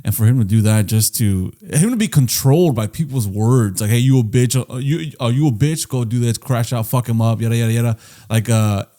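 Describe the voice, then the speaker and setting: A man's voice: medium pitch, a little fast, dark tone. A man in his late twenties co-hosting a podcast, rambling and emphatic in casual chat, swearing often. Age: late 20s. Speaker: podcast co-host.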